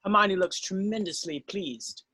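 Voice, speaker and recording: A woman's voice: medium pitch, measured pace, bright, forceful tone, breathy edge, no echo. A woman narrating stage directions from a script.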